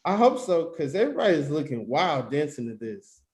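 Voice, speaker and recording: Male actor as fun-loving young buddy, higher voice, very animated, slangy and joking table read. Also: a little fast. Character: Kev, laid-back joking young man, the fun friend.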